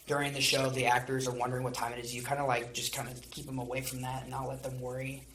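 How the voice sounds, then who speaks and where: A young man with a mid-pitched voice works as a podcast host, posing questions to guests. The recording carries some background noise and small clicks. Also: level tone, quick pace, a bit bright. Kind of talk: interviewing guests.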